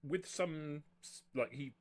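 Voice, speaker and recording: A man working as game master, narrating and ruling on a tabletop game in a halting way, often repeating himself. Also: on the high side.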